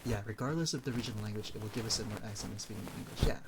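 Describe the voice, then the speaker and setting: A man's voice: lowish voice, very fast, noisy background. A young man from the Philippines streaming and chatting casually with viewers, rambling and restarting his sentences as he talks.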